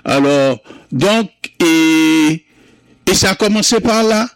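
Man, Creole native, interview guest: unhurried, fairly high voice, halting with fillers and restarts, dry close sound. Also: lively intonation, dry recording. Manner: slow, hesitant, many fillers and restarts. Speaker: founder of a community Creole month. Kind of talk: interview recollection.

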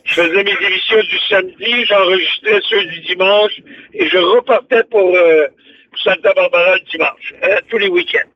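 A man's voice: high-pitched, animated, normal speed, recorded from a distance, muffled recording. An older man, past sixty, with a Quebec French accent, chatting on radio in a rambling, exclamatory way. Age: 60s or older. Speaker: radio show host. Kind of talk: casual reminiscing chat on radio.

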